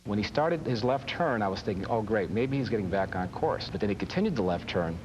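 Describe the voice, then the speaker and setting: Quick, deep-voiced man recounting events. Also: sing-song, a little mellow. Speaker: air traffic controller being interviewed. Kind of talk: interview recollection. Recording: clearly noisy interview audio.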